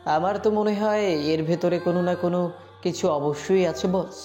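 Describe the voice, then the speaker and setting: Woman acting wary gangster aide, dark low voice. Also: moderate pace, soft background music, lightly acted. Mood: wary, dutiful. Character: loyal wary male gangster aide, deferential. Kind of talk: amateur Bengali audio-story acting.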